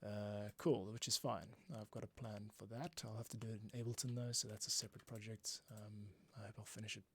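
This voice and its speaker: A man's voice: lowish voice, little pitch movement, a little fast, few pauses, fairly bright tone, a little hiss or hum. A man hosting a livestream as a composer, casually explaining and thinking aloud as he works. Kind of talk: casual tutorial while working. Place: small home closet studio. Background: music cues playing.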